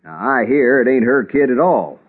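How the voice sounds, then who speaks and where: Grumbly suspicious cowboy townsman, rough voice, tinny radio drama. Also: medium pitch, expressive, normal speed. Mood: grumbling, agitated. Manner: grumbling, insistent. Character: suspicious, bigoted frontier townsman, stubborn and agitated. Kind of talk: 1950s radio western acting. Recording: tinny 1950s radio recording.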